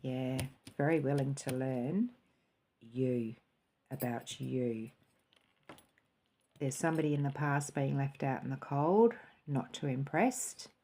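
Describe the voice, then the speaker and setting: A woman giving a spiritual tarot and cup reading in a soft, musing way, sometimes dropping into a quiet, calm voice. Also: a bass voice, slow, long pauses.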